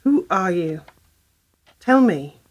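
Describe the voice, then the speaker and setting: A woman's voice: fairly low pitch, expressive, takes it slowly, highs cut off, a little hiss or hum. A woman playing a sceptical, dry-witted woman in a radio play, full of questions. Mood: wary, probing.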